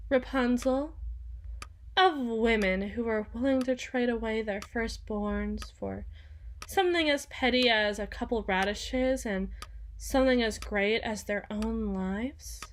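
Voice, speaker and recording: A woman playing a cool, possessive demon in an ASMR roleplay, with formal, deliberate, theatrical phrasing. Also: a little high, a little hiss or hum. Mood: sly, formal. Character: cool, possessive female demon proposing a marriage contract. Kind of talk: ASMR roleplay, scripted dramatic monologue.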